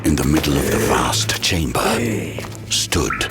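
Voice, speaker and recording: Extremely deep, gravelly horror narrator. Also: male voice, unhurried, loud music behind the voice, exaggerated voice. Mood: ominous. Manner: grave, ominous. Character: grave male storyteller narrating a horror thriller. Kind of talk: dramatic scripted narration.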